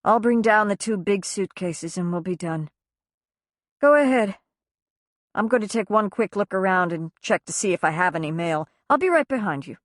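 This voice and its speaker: A woman voicing a worn-out mother who speaks softly but firmly to her grown son. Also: mid-range voice, lively intonation, moderate pace, no echo, studio quality, close to an everyday voice. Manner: soft, firm, tired.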